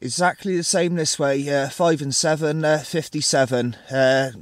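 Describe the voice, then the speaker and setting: A man's voice: a little high, steady tone, moderate pace, heavy background noise. A man talking casually as a portable CB radio operator, recorded outdoors. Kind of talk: casual radio talk and field narration. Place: outdoors on high open ground.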